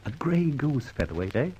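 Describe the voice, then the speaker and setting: An actor playing a jaunty, confident gentleman detective in an old-time radio serial, with theatrical, old-fashioned phrasing. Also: medium pitch. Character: suave, jaunty gentleman adventurer-detective, male, whimsical and confident. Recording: noisy old radio recording.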